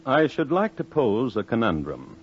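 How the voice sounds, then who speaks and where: A man hosting and narrating a scripted 1950s radio crime series in a measured, theatrical manner with dramatic pauses. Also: mid-range voice, wildly swooping pitch, soft background music. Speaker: host and narrator. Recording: muffled old 1950s radio recording.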